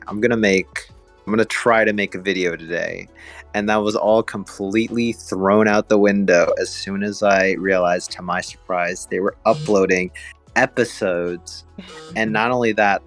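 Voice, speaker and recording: A man's voice: deep voice, fairly bright tone. A young man co-hosting a podcast, recounting his own experience in casual chat. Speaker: podcast co-host, video reviewer. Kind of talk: casual chat, personal anecdote.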